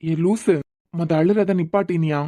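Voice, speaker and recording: A man playing a young man secretly in love, speaking softly and poetically. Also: a little high, fairly even intonation, measured pace, close to an everyday voice. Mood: soothing. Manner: soft, tender and poetic. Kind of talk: romantic audio drama acting.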